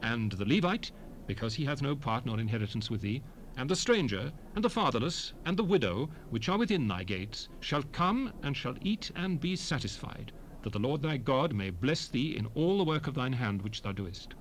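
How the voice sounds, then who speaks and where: An actor playing a solemn lawgiver, proclaiming commandments in a measured, declamatory way for a dramatized King James Bible recording. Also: medium pitch, sing-song, close to an everyday voice, poor audio. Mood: solemn, commanding. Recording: hissy 1970s stereo cassette rip.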